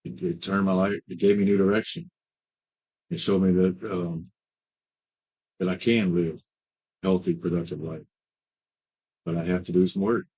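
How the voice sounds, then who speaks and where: A man in his late fifties with a very low, husky voice shares his recovery story as a treatment client. He talks haltingly, with many fillers and restarts. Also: flat delivery, darkish tone, low-quality recording. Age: 50s. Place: treatment center.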